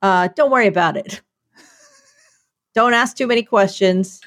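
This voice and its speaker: A woman co-hosting a podcast in casual chat, playful and quick to laugh. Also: medium pitch, fairly bright tone, no echo, clean studio sound. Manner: playful, laughs often, jokey asides. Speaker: podcast co-host.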